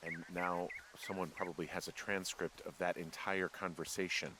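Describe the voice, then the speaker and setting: An actor playing a weary, anxious fire lookout in a video game, wry and blunt, speaking in a halting, exasperated, natural way. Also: male voice, low-pitched, little pitch movement, noisy background, close to an everyday voice. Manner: halting, exasperated, plain-spoken.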